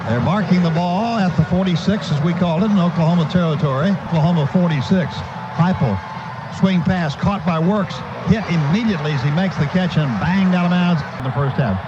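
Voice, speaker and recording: A high-pitched American man calls football play by play for radio. He narrates each move in clipped, present-tense bursts. The sound is muffled, with clear stadium noise behind him. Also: brisk. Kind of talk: live football play-by-play commentary. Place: stadium broadcast booth. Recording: muffled, noisy old radio broadcast.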